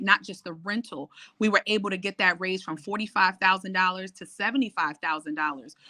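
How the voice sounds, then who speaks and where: A woman's voice: mid-range voice, sharp tone, dry recording. A woman running for city council, confidently listing her record and urging people to vote. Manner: assured, persuasive, lists achievements. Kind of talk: campaign pitch on a call-in show.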